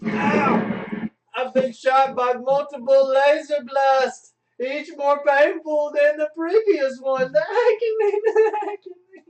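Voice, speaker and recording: A man's voice: very high voice, fairly even intonation, distant mic. A man playing a wounded spaceman who wails melodramatically about his pain in a live radio play. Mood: theatrical agony. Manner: melodramatic, drawn-out, whiny. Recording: dull, echoey room, off the mic.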